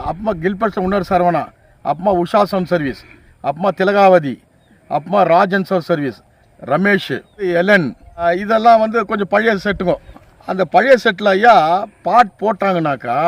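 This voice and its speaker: High-pitched, creaky older man reminiscing. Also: no echo. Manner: deferential, often addressing listener as sir; repetitive, listing from memory. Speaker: interviewee, veteran sound system operator. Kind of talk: casual reminiscing in an interview.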